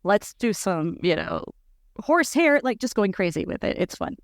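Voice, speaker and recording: A woman in her forties with a squeaky voice, chatting casually as a podcast guest and leaping up in pitch when quoting herself. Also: big pitch swings, normal speed, no room sound, clean studio sound. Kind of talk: casual chat.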